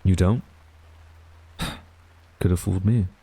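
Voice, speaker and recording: A man's voice: deep voice, fairly even intonation, mellow, slightly rough, heavily acted. A man acting a young university student in a romantic audio roleplay, a defensive, secretly smitten rival who grumbles and gets flustered. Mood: accusing, grumbling. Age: young adult. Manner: flustered, grumbling, halting denials.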